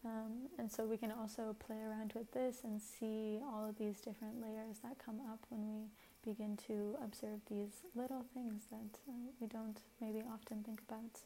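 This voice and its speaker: A soft-spoken woman hosts a reflective spiritual talk, her mellow voice drifting into a gravelly fry at the ends of phrases. She talks gently and hesitantly, pausing with fillers. A faint hiss sits behind her. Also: on the high side, flat delivery, low-quality recording. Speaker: spiritual podcast host.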